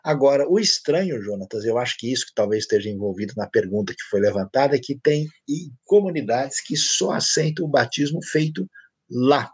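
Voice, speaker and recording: A man's voice: mid-range voice, expressive, a little fast, darkish tone, breathy edge, no echo. A man with a Brazilian Portuguese accent, a pastor answering questions in a church teaching session, explaining conversationally with anecdotes.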